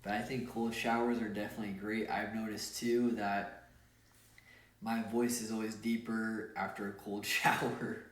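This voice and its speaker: A man's voice: on the low side, level tone, moderate pace, distant mic. A young man co-hosting a casual podcast, talking in a loose, chatty way and often repeating words. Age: young adult. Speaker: podcast co-host. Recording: echoey room, away from the mic.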